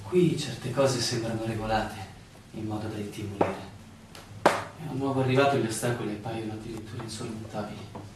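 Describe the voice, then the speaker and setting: An actor plays a busy village official in a radio play, condescending and soothing at once. His voice sits far from the mic in a hugely echoing space, with clear noise behind it. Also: on the low side, fairly even intonation, fairly quick, lightly acted. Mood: quietly patronising. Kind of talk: Italian stage-style radio drama acting. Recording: very echoey, distant, clearly noisy room recording.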